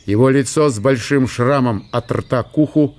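A man's voice: mid-range voice, moderate pace, darkish tone. A man narrating a literary historical radio drama as a scripted storyteller.

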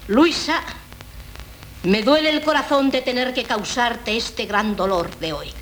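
A woman's voice: on the high side, measured pace, lightly acted. An actress playing an indignant, domineering mother in a 1970s radio play, declaiming with melodramatic emphasis. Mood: grave. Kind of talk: 1970s Spanish radio theatre acting. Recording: noisy 1970s radio recording.

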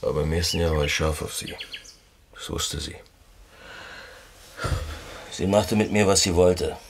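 Radio drama lover: taciturn, blunt working-class type, gravelly bass, noticeable birdsong and clicks. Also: male voice, a little slow, a little hiss or hum. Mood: gruff, resigned. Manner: terse, blunt. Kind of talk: intimate radio play dialogue.

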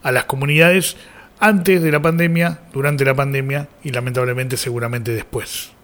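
A man with a Rioplatense Argentine accent presenting and commenting on live radio, reflective and pausing mid-sentence. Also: mid-range voice, fairly even intonation, a bit bright. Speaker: radio host.

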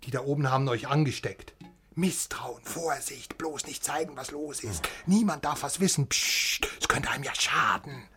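A man's voice: medium pitch, normal speed, pushed and bright, close to an everyday voice. An actor playing an exasperated, wounded friend in a radio drama, agitated and needling in the way he talks. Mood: bitter, hurt. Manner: agitated, needling, repeats himself. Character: exasperated, wounded West German friend, passionate and probing. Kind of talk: naturalistic radio drama acting.

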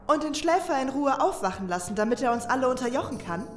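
A woman playing a sardonic, sharp-tongued adventurer in a fantasy fan audio drama. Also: sits high, expressive, fast talker. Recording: roomy echo, faint music behind.

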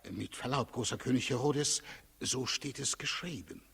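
An actor playing a cautious, evasive high priest in a 1960s radio play, deferential, solemn and ceremonious. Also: male voice, moderate pace, vocal fry, a bit bright, breathy edge, heavily acted. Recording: 1960s radio recording, reverberant hall.

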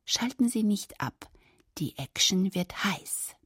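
A woman's voice: medium pitch, animated, takes it slowly, breathy edge, dry recording, studio quality. A woman hosting radio, giving a scripted, wryly conversational introduction to a drama.

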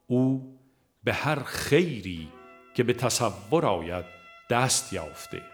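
A man's voice: mid-range voice, very animated. Man reading a devotional book over music. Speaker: audiobook narrator. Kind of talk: scripted devotional book narration with quoted scripture and verse.

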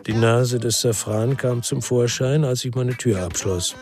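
A man's voice: medium pitch, a little slow, darkish tone, faint music behind, close to an everyday voice. An actor playing a world-weary male ex-policeman who narrates his own crime story in a sober, laconic way for radio drama. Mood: brooding.

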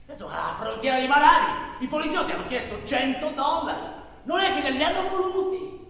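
An actor playing an intense traveller who recounts stranded migrants' hardships in a halting, repetitive theatrical monologue. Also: male voice, extremely high pitch, animated, exaggerated voice. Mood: halting, sombre. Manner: halting, repetitive delivery, swinging between shouts and murmurs. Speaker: stage actor. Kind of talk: dramatic theatrical monologue in Italian. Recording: very echoey hall, distant microphone.

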